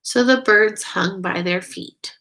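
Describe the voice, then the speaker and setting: Slow gentle woman reading a storybook. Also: mid-range voice. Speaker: storybook reader. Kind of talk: children's read-aloud narration.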